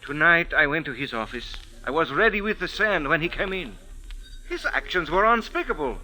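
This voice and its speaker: A man's voice: a little high, big pitch swings, moderate pace, sharp tone, heavily acted, rough recording. A man playing an aggrieved, proud little sand diviner in an old radio drama, formal and earnest in speech. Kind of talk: 1940s-50s radio drama acting.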